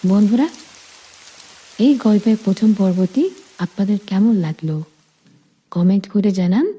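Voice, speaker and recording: A woman narrating a scripted romantic story in the first person, deliberately, in short phrases. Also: mid-range voice, mellow, husky.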